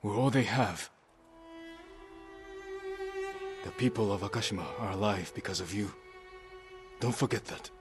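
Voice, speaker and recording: Game role: stern samurai leader, played by a man, low register, calm authority, clipped commands, clear score underneath. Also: over music, natural voice. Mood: solemn, reassuring. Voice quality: low-pitched. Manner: measured, terse, commanding. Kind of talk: dramatic video game acting.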